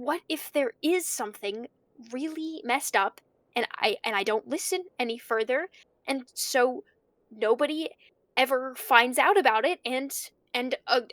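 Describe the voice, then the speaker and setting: Woman acting freaked out young student in an audio drama, highish voice, stammery and rambling, guilty conscience, super dry recording. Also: animated, measured pace, fairly bright tone, dry recording. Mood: anxious, overwhelmed. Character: panicky, conscience-stricken young student trapped in a house. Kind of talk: audio drama monologue, naturalistic.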